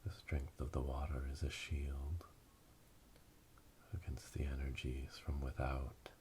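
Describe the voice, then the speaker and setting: A man playing a solemn witch elder leading an initiation rite in a breathy, whispered ASMR roleplay, speaking slowly and ceremonially. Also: flat delivery, warm tone. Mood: solemn, hushed. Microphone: close binaural microphone. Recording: close binaural, rumbling noise, clicks.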